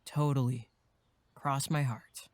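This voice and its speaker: A woman playing an easygoing, friendly young male camp counselor in a horror audio drama, with a gentle, peacemaking manner. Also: extremely low pitch, steady tone, slow, no room sound, clean studio sound. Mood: reassuring, mild.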